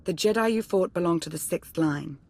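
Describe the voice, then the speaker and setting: A serious female Sith minister from a video game. Her voice is on the low side. She speaks formally and urgently, in clipped, businesslike phrases. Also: a little fast, darkish tone.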